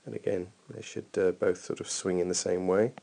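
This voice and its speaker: A man's voice: deep voice, steady tone, fairly quick, creaky, mellow. A man giving informal running technique feedback over a training video as a coach, thinking aloud in a hesitant way.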